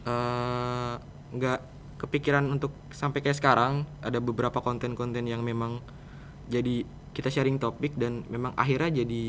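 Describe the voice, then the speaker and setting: A man's voice: mid-range voice, little pitch movement, normal speed, a bit bright. Teenage Jakarta guy, informal, with background noise. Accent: Jakarta Indonesian. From Jakarta, Indonesia. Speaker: student guest, podcast project leader. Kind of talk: casual conversational interview answers. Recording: clear background noise, room ambience.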